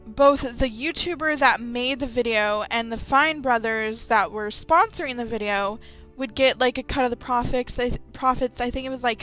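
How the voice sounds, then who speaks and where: A woman's voice: fairly high pitch, bright, forceful tone. A woman giving casual, rambling opinion commentary as a YouTuber, with sarcastic asides. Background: light background music.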